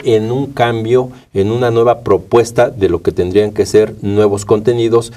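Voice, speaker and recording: A Mexican man answers as a studio interview guest in a fairly low, dark, soft-edged voice. He talks haltingly, doubling words and checking in with short tags. The microphone catches him from slightly far off. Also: steady tone. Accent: Mexican Spanish. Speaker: interview guest, media rights advocate.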